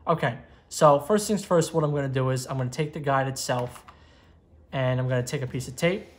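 A man's voice: medium pitch, brisk. A man in his twenties giving a step-by-step tutorial at an indoor workbench, recorded on a lapel mic. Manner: steady step-by-step instruction. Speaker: magazine editor giving a tutorial. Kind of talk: how-to tutorial.

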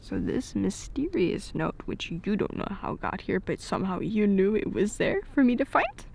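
A woman's voice: medium pitch, very animated, moderate pace, darkish tone. A woman playing a playful, affectionate girlfriend in an intimate ASMR roleplay, giggly and slipping into whispers. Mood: amused, teasing. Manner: breathy giggles, dropping into whispers.

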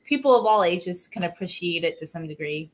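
A woman's voice: fairly low pitch, expressive, a little fast. Young woman chatting casually on a video call. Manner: casual, with frequent "like". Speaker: guest. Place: home room with posters on the wall. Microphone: video call webcam.

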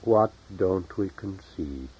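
A man reading his own poetry in a solemn, measured, deliberate way with long pauses, as a poet at a reading. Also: sits low, lively intonation, dark tone, husky. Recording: dull lo-fi 1970s tape recording.

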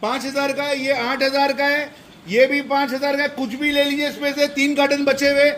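A young man with a very high voice pitches a store sale, holding his phone camera as he walks a big indoor shoe shop. He repeats prices over and over in a flat, unvarying tone. A faint store hum sits behind him. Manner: repetitive rapid-fire price listing. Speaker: shop salesman. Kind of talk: promotional sale walkthrough.

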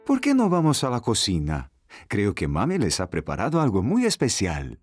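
Cartoon dad, Latin American accent, calm, gentle, reasoning, background music. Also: male voice, lowish voice, normal speed, husky, full character voice. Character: calm, kindly explaining father in a cartoon.

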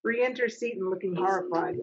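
A woman's voice: medium pitch, a little fast, breathy edge. A middle-aged woman acting as stage manager, reading stage directions and plainly instructing readers in an informal way. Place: small home office. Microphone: video call, computer microphone. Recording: dull, lo-fi video call audio.

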